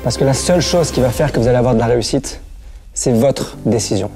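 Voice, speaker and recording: A man's voice: medium pitch, quick pace, dark tone, faint music behind. A man in his thirties delivering a promotional testimonial as an entrepreneur. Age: 30s.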